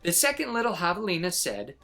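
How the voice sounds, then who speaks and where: A woman reading a children's picture book aloud as an expressive storyteller. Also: lowish voice, fairly quick, soft background music.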